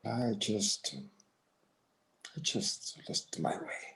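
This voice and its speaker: A man's voice: fairly low pitch, expressive, drawn-out words, vocal fry, fairly bright tone, full character voice. An actor playing a proud, stubborn elderly rancher father, philosophizing in terse phrases at a screenplay table read. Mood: sad, resistant.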